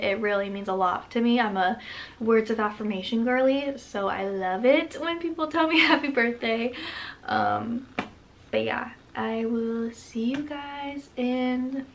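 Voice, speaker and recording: A woman in her twenties talking to camera in her bedroom, rambling warmly with lots of "like" fillers and little laughs. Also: fairly high pitch. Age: 20s. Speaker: vlogger. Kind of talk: casual reflective talk to camera. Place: bedroom, indoors.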